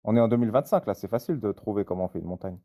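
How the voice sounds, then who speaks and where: A man's voice: on the low side, racing, dark tone. A man in a live online debate, pointedly and insistently questioning a guest.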